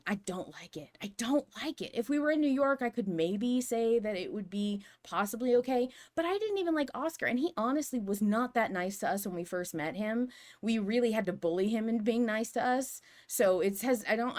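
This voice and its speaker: Emphatic woman chatting as podcast co-host. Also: mid-range voice. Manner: emphatic, opinionated, frequent swearing. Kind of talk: casual fan discussion.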